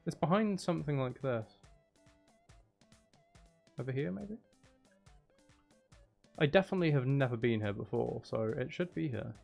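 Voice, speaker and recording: Creaky-voiced man streaming a game. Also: medium pitch, moderate pace, long pauses, darkish tone, highs cut off, faint music behind. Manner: talks to himself, muttering and exclaiming. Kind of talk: casual livestream gameplay commentary.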